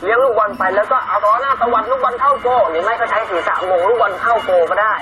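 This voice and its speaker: A man's voice: high-pitched, quick pace, crowd noise. A man in his twenties hosting a children's show, presenting trivia and quizzes in a playful, upbeat big-brother way. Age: 20s. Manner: playful, speaks as an older brother to young viewers. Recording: music playing loudly behind the voice.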